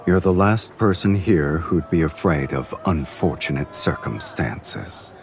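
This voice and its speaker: Give the scene a powerful male fiend of the underworld. His voice is very deep and he speaks slowly, with cool, teasing understatement. It is romantic fantasy game dialogue with light music underneath. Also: expressive, exaggerated voice. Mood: cool, amused.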